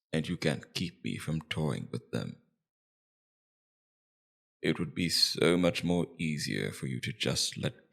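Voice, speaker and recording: A man playing a suave, menacing demon king in an ASMR roleplay, coaxing and condescending in a slow, deliberate, domineering way. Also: a bass voice, creaky, exaggerated voice. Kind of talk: ASMR roleplay character acting.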